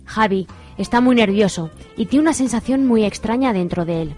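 A woman from narrates a radio soap, steady and measured. Her pitch sits mid-range. Light music plays underneath. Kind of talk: scripted radio drama narration.

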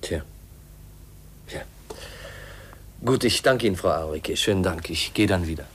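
Very low-voiced, brooding young man. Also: lively intonation, a little slow. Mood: probing. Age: young adult. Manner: pensive, probing, polite. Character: earnest, brooding young heir seeking his uncle's secret. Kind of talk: 1950s German radio drama acting. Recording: noisy 1950s radio recording.

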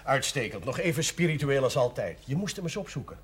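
An actor playing a genial, authoritative parish priest, paternal and persuasive, in a 1970s Dutch television drama. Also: male voice, medium pitch, sing-song, fairly quick, breathy edge. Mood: friendly.

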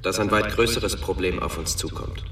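An actor playing a sober, deferential security chief, formal and measured, reporting over a video phone in a radio drama. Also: male voice, sits low, a bit bright. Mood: grave report. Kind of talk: German radio drama acting. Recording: echoey, distant, faint music.

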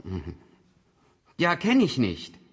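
A man's voice: medium pitch, huge pitch swings, takes it slowly. An actor playing a hostile, evasive nationalist father in a radio crime drama. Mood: curt. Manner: defensive, evasive, then shouting.

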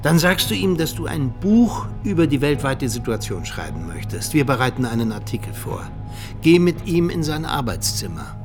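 An actor playing a ruthless Soviet agent and mentor in a radio drama, speaking in a deliberate, conspiratorial, commanding way. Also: male voice, mid-range voice, big pitch swings. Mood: urgent, commanding. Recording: studio radio play with music underneath.

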